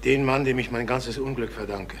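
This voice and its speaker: An actor playing a nervous, defensive older travelling salesman who confesses, in a halting, brooding radio crime drama manner. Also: medium pitch, steady tone, background noise, natural voice. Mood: brooding, haunted.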